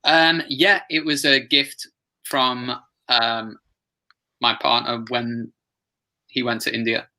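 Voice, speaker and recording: A man's voice: medium pitch, little pitch movement, slow, long pauses, sharp tone, low-quality recording. A man taking part in a workshop, describing things off the cuff in hesitant, pieced-together phrases. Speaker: workshop participant. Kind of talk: unscripted description.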